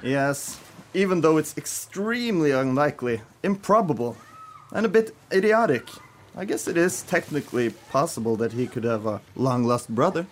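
A man's voice: a little high, big pitch swings, moderate pace, a little hiss or hum. A man playing a stiffly pedantic, indignant rock band member in an amateur radio play, speaking formally and emphatically. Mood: skeptical.

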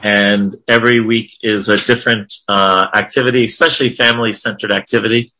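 A man's voice: lowish voice, fairly even intonation, brisk, pushed and bright, poor audio. A man leading an informal religious class in an encouraging, affirming way. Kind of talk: informal spiritual class discussion.

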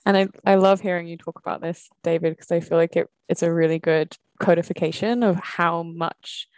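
A woman's voice: on the low side, steady tone, a little fast, darkish tone, no room sound. A woman, co-hosting a podcast and joining the discussion in a thoughtful, conversational way. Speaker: podcast co-host. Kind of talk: casual discussion.

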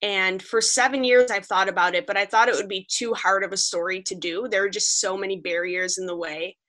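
A woman's voice: mid-range voice, steady tone, fairly quick, sharp tone. A young woman, a freelance journalist from Western New York, earnestly recounting her reporting as a podcast guest. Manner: earnest, vivid storytelling, quoting others. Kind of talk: podcast interview, storytelling.

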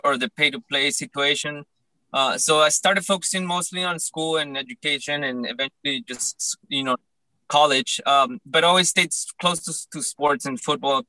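A man's voice: a little high, sharp tone, no echo, poor audio. A young man from Colombia, founder of a club, telling his story at length in a casual panel chat with easy fillers. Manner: fluent, expansive storytelling with fillers.

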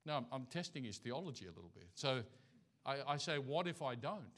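Bright-voiced Australian missionary preacher. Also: male voice, medium pitch, big pitch swings, fairly quick. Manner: conversational, many fillers and restarts. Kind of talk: storytelling sermon.

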